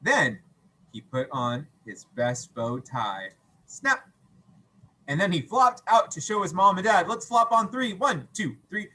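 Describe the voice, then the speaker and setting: A man reading a children's story aloud as a teacher, playful and expressive, with acted sound effects and questions to listeners. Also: high-pitched, a little slow, a little hiss or hum, rough recording.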